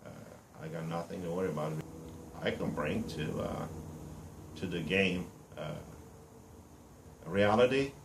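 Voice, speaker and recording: Romanian-accented man, 50s, deep voice, blunt and boastful, halting English, echoey room. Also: creaky, noisy background. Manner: blunt, boastful, broken English with pauses and fillers. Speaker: reality show applicant. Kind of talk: casual self-introduction to camera.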